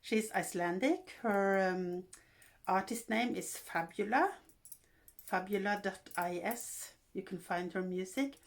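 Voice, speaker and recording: A woman in her sixties from Norway with a Norwegian accent, hosting a casual vlog in English and talking in a halting way with fillers and restarts. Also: mid-range voice. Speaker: vlog host.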